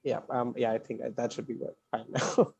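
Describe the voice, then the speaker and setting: A man with a medium-pitched voice hosts a live-streamed event from a video call. His introduction is informal and halting, with frequent hesitation. The sound is dry, with no room echo. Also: level tone, vocal fry, a little mellow, breathy edge. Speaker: conference host introducing a speaker.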